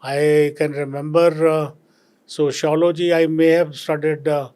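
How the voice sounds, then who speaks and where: An older man from Punjab with an Indian accent, a retired civil servant answering interview questions slowly and reflectively, with many pauses and fillers. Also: a little high, level tone, a little mellow, smooth.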